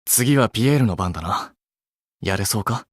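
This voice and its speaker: A man's voice: fairly low pitch, animated, moderate pace, no echo, clean studio sound. A man playing an earnest, blunt young male idol in a Japanese mobile game, with a restrained way of talking. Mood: cool, steadying himself.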